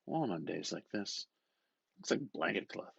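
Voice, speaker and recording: A man reading a novel aloud with expressive, dramatic narration, musing and often dropping into a hushed murmur. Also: sits low, a little slow, vocal fry, breathy edge, no room sound, highs cut off. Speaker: reader. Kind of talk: expressive literary audiobook narration.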